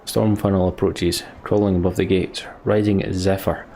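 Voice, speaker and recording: A man's voice: low-pitched, flat delivery, moderate pace, dark tone, a little hiss or hum. A man hosting a let's play, commenting on the game and reading its story text aloud as narration.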